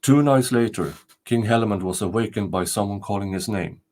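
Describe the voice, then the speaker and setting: Older man reading a folktale, lowish voice. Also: fairly quick, a little mellow. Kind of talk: scripted storybook narration.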